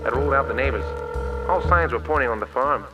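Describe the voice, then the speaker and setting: A man playing a wisecracking, hard-boiled private detective in an old-time radio drama, with a deadpan tough-guy delivery. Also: medium pitch, fast, lo-fi sound, lightly acted. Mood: wry narrating. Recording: music behind, old radio styling.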